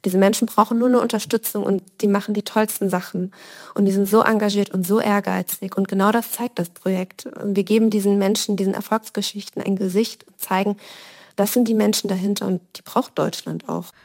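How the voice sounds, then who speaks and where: A woman's voice: medium pitch, steady tone, fairly quick, darkish tone. A woman working for a foundation, speaking in an interview with enthusiastic emphasis. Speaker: foundation officer. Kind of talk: interview statement.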